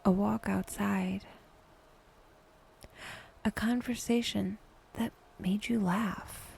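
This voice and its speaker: Slow, near-whispering woman guiding meditation. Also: medium pitch, steady tone, a little hiss or hum. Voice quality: soft, breathy, often near-whispered. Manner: unhurried, with long pauses between short phrases. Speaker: meditation guide, podcast host. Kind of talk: guided meditation, soft slow scripted narration.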